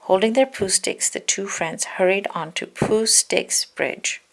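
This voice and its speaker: A woman narrates a children's picture book aloud. Her voice sits at a middling pitch with plenty of creak in it. She reads expressively, as a story for young listeners. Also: unhurried, low-quality recording. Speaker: storybook reader.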